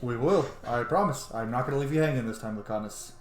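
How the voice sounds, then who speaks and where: A man's voice: medium pitch, fast. A man giving casual, informal gameplay commentary, muttering asides to himself and swearing now and then. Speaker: gaming streamer, let's-play host. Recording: roomy sound with faint noise.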